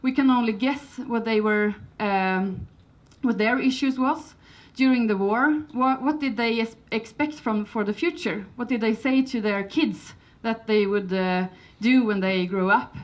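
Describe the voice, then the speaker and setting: A woman's voice: fairly high pitch, a little slow, hardly any pauses. Swedish-accented woman giving a conference talk. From Sweden. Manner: hesitant, many fillers and restarts. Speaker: conference speaker. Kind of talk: conference presentation.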